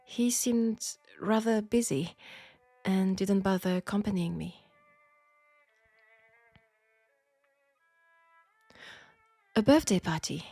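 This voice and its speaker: A woman's voice: mid-range voice, unhurried, warm tone, dry recording, soft background music. A woman with a French accent playing a polite, formal, self-assured seamstress in a steampunk audio roleplay drama. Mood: polite, composed. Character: proud, outspoken, formal young seamstress in steampunk Paris.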